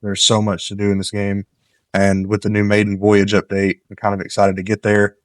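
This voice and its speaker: Guy with a lowish voice doing laid-back gameplay commentary, kinda nasal from a cold, dry close mic. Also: brisk, no room sound. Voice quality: slightly nasal. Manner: relaxed, rambling, gives tips while playing. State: coming down with a cold. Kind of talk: casual let's-play commentary.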